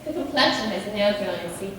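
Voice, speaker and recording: A woman's voice: medium pitch, expressive, brisk, fairly bright tone, natural voice. A woman in an amateur stage play, acting a jealous young society lady with an affected, arch, sweetly spiteful manner. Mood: catty. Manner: affected, arch, mock-genteel. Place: theatre stage, recorded from a distance. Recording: echoey hall, distant mic, faint noise.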